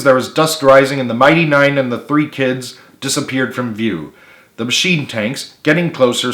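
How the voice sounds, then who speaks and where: Guy reading an adventure story out loud, dramatic, average pitch, lots of room echo. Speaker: author reading own book. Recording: echoey room.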